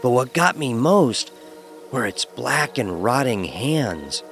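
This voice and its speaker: A man's voice: mid-range voice, sing-song, takes it slowly, creaky, a bit bright, faint music behind. A man narrating a first-person horror story in a dramatic, wry manner.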